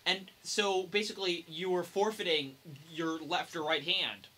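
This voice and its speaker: A man's voice: high-pitched, steady tone, a little slow, sharp tone, low-quality recording. A young man chatting casually and jokingly as a podcast co-host. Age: young adult. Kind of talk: casual chat about video games and films.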